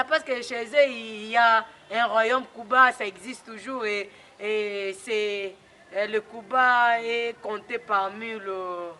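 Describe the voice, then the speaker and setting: Bright-voiced lively woman hosting. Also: fairly high pitch, a little hiss or hum. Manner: animated, calls for applause, chatty asides. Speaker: host. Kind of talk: lively event hosting in French.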